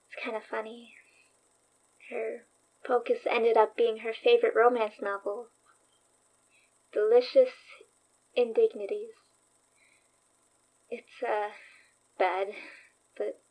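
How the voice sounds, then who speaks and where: An actress in a horror audio drama playing a thoughtful, wry young woman investigator recording a diary, reflective, halting and dryly understated. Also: on the high side, rough recording. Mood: wry, awkward.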